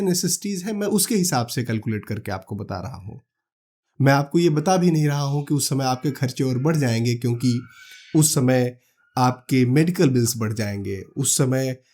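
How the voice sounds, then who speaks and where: A man from India calmly explaining retirement money planning step by step, talking the listener through numbers and examples. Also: medium pitch. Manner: calm, practical, walks through numbers step by step. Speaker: finance educator. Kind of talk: explanatory talk to camera.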